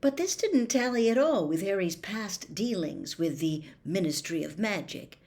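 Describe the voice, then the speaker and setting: A woman reading a novel aloud as an audiobook narrator, in an expressive storytelling style. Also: medium pitch, normal speed.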